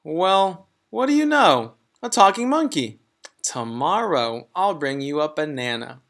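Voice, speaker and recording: A man's voice: on the high side, sing-song, unhurried, gravelly, fairly bright tone, voice pushed up, exaggerated voice. A man voicing a nice, dotty, easily astonished woman schoolteacher with an emphatic, exclaiming manner.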